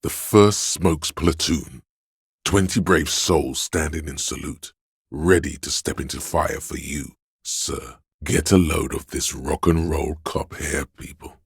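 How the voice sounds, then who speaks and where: A man's voice: takes it slowly, creaky, husky, no echo, studio quality, exaggerated voice. A man playing a seductive, indulgent inner voice of craving in a video game, narrating in the second person with a theatrical, coaxing, savoring delivery. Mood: sly, gleeful. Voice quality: very deep, resonant.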